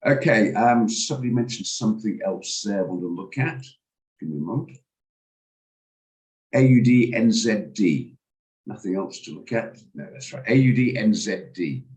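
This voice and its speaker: A man, hoarse from a cold, hosting a live trading room and talking through charts with hesitant, stammering restarts. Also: fairly low pitch, lively intonation, moderate pace, darkish tone, low-quality recording. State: hoarse, recovering from flu. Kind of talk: live market commentary on a video call.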